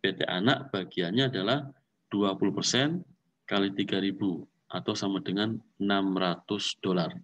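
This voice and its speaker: A man's voice: deep voice, moderate pace, a bit bright, recorded from a distance, lo-fi sound, a little hiss or hum. A man lecturing on accounting, walking step by step through calculations, repeating figures and mixing in English accounting terms.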